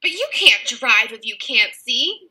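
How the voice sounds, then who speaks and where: Woman acting a high-pitched, exasperated storybook boy. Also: very animated, a little slow, pushed and bright, heavily acted. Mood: indignant. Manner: spluttering, indignant. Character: exasperated, indignant kidnapped young boy. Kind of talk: children's storybook character voice.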